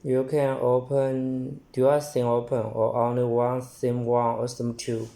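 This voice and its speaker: A man presents a product hands-on, reading items out in short, halting phrases. His voice is mellow and dark at a middling pitch. He takes his time, with long unhurried pacing. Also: monotone, creaky. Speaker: product demonstrator.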